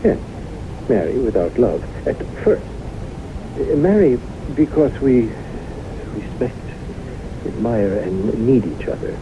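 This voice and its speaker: An actor playing an earnest, formal, middle-aged businessman suitor, speaking deliberately and courteously in a radio drama. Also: mid-range voice, sing-song. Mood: pleading, earnest. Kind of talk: 1970s radio drama acting. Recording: noisy muffled old radio broadcast recording.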